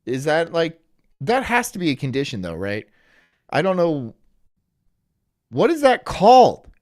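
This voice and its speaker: A young man from Florida streaming live, rambling from one joke to the next in a deadpan way while his pitch swings widely. The sound is close and dry, with no room around it. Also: a little slow, dry recording. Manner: rambling, joking, deadpan absurd asides. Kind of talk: casual live-stream chat reacting to a video.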